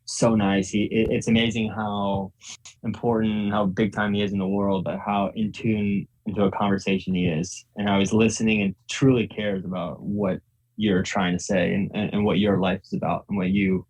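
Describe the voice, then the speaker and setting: A man's voice: lowish voice, level tone, moderate pace, darkish tone, poor audio. A young man, born in Ukraine and raised in Virginia, chatting casually as a podcast guest, often laughing and repeating words. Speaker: guest, professional tennis player.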